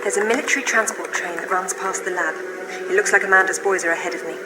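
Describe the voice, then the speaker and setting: A woman's voice: medium pitch, quick pace, close to an everyday voice. A woman as a confident British adventurer. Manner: dry, self-assured. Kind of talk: video game cutscene acting. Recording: echoey game audio with loud music.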